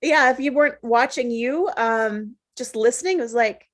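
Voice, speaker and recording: A woman's voice: on the high side, normal speed. A woman chatting casually as a cast member of a table read. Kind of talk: casual chat.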